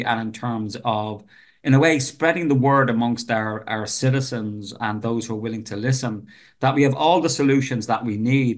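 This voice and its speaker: A man in his fifties with a Dublin Irish accent, a professor talking earnestly as a podcast guest and often repeating words as he starts a sentence. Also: medium pitch. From Ireland. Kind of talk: podcast interview conversation.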